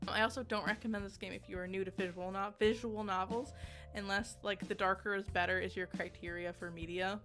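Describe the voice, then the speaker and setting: Bright sounding girl doing a chatty game review, giggly and kinda self-deprecating, bit of music behind her. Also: medium pitch. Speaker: video game reviewer and host. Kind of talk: casual video essay review chat.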